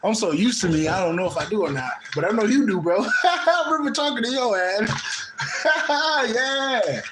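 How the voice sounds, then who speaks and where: A man's voice: high-pitched, animated, moderate pace, echoey room, heavy background noise. A man with a Midwestern American accent chatting loosely with a caller, laughing often and talking in heavy slang with lots of swearing. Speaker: host of a spiritual channel. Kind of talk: casual chat with a live caller.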